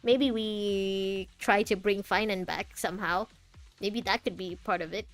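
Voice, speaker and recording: A woman's voice: mid-range voice, fairly even intonation, unhurried. A woman giving casual, chatty livestream commentary while playing a game, giggly and drifting into asides. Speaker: game streamer. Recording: faint game music behind the voice.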